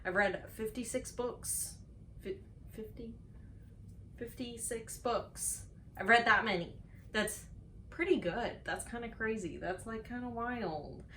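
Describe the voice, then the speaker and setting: A woman's voice: on the high side, takes it slowly, bright, forceful tone, slight background noise. A woman chatting casually to camera as a book reviewer, playful and self-mocking, stretching words for dramatic emphasis. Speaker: book reviewer on a video channel.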